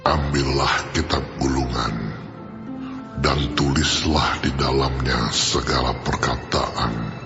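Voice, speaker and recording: A man's voice: rumbling low, fairly even intonation, heavily acted. An actor voicing God as a solemn, commanding male voice in an audio Bible drama. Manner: slow, grave, commanding. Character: God speaking, vast deep solemn male voice. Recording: echoing hall reverb, muffled. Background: music.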